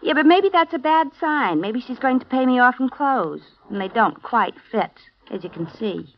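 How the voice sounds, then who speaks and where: Gossipy young maid. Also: female voice, fairly high pitch, animated, moderate pace. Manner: gossipy, colloquial, wry. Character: chatty young female maid, nosy and gossipy. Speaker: personal maid. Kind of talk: 1950s radio drama acting. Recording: dull lo-fi vintage radio broadcast.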